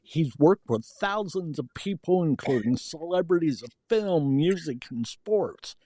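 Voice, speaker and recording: A man's voice: on the high side, expressive, a little slow, warm tone, slight background noise. A man hosting a podcast, giving introductions and asking interview questions. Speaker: podcast host.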